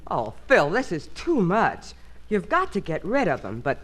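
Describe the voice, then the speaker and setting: An actress playing a long-suffering, scolding American housewife in a radio sitcom. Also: female voice, lowish voice, huge pitch swings, moderate pace. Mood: fretful. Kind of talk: 1950s radio sitcom acting. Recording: noisy old radio recording, audience.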